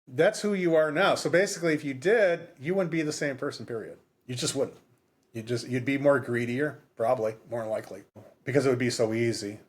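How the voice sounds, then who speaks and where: A man's voice: mid-range voice, a little fast, husky. A man in his fifties co-hosting a podcast, chatting casually about books in a rambling way and repeating himself for emphasis. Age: 50s. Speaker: podcast co-host. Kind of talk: casual book discussion.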